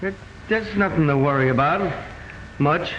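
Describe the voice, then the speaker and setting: An actor playing a wisecracking young amateur detective in a 1940s radio drama, on a dull lo-fi old recording. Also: male voice, fairly high pitch, fairly bright tone, slight background noise. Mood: wry. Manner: wisecracking, brisk.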